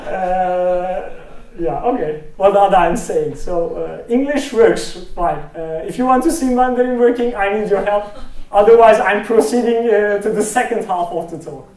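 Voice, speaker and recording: A man's voice: high-pitched, darkish tone, far from the mic. A man with a Turkish accent giving a technical talk with a live demo, hesitant, with many fillers and restarts. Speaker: speech researcher giving a talk. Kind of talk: conference presentation with live demo. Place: lecture room. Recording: roomy hall from off-mic.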